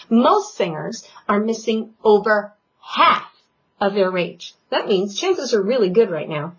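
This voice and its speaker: A woman vocal coach giving an online singing lesson, talking in a loud, animated and playful way. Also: mid-range voice, husky. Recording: dull lo-fi sound.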